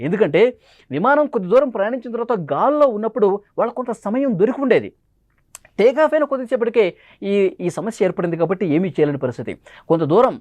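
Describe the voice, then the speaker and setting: High-pitched, fast-talking man presenting news. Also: darkish tone, dry recording. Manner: emphatic, repeats phrases for stress. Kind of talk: dramatic news commentary.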